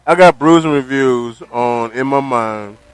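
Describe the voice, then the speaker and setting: A man's voice: medium pitch, unhurried, clear, resonant tone, no echo. A man co-hosting a radio show, chatting casually and joking, often repeating himself.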